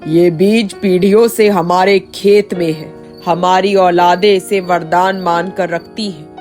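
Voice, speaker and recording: A woman voicing a stern, proud village landlord man with a commanding manner in an animated story, over music. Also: fairly low pitch, unhurried, voice pushed down, lightly acted. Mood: grudging, commanding. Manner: commanding, questioning.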